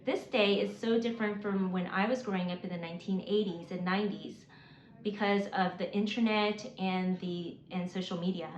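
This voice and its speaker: A woman in her forties, raised in Mississippi, working through a prepared list of reasons in an earnest, measured way with occasional restarts. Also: medium pitch, steady tone. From South Korea, raised in Mississippi. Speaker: homeschooling mom. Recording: roomy, slightly dull, faint hiss.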